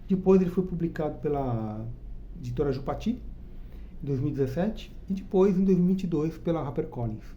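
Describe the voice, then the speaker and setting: Host, Brazilian man, Brazilian Portuguese accent, casual enthusiastic review, somewhat high but very mellow voice, muffled home recording. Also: lively intonation, brisk, a little hiss or hum. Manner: chatty, enthusiastic, frequent tag questions. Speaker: comic book reviewer and channel host.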